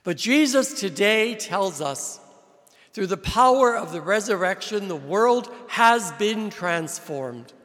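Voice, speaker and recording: A man preaching a sermon as a visiting priest, from New Jersey, with slow, deliberate delivery and emphatic rises. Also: sits high, animated, fairly bright tone. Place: large church with long reverb. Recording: reverberant church hall.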